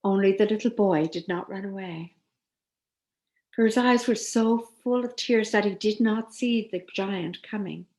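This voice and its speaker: A woman storyteller reading a children's tale expressively, with deliberate dramatic pauses. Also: mid-range voice, darkish tone.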